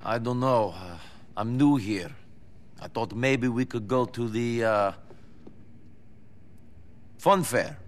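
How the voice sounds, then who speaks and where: An actor playing a blunt, deadpan immigrant tough guy in a video game, with an Eastern European accent. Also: medium pitch, slow, long pauses, close to an everyday voice. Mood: curious, dry.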